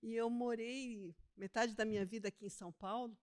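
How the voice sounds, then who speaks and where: An older woman from Brazil's Northeast, a theologian and author, speaking as a guest in a relaxed interview, self-deprecating and quick to laugh. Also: a little high. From Northeast Brazil (Pernambuco). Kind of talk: conversational interview.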